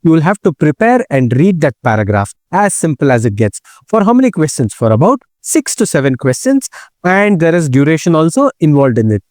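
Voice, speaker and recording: A man walks learners through an exam task over slides, asking himself questions and then answering them. His voice sits a little high and swings widely in pitch, with a mellow tone, recorded dry and close. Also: sing-song, brisk, no echo. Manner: explains step by step with rhetorical questions; repeats "correct?" and "okay" to check in. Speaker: test prep instructor. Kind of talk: slide-based exam tutorial.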